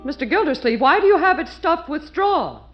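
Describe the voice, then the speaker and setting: A woman's voice: sits high, sing-song, moderate pace, music in the background. An actress playing a prim, genteel middle-aged woman in a 1940s radio comedy, polite and dryly witty. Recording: dull 1940s radio broadcast.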